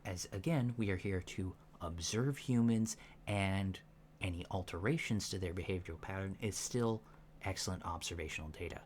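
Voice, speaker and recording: A soft-spoken man in an ASMR roleplay, playing a calm alien instructor with a halting, deliberate, matter-of-fact delivery, in a lo-fi recording. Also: deep voice. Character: calm alien instructor briefing disguised recruits on humans. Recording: lo-fi recording with faint background noise.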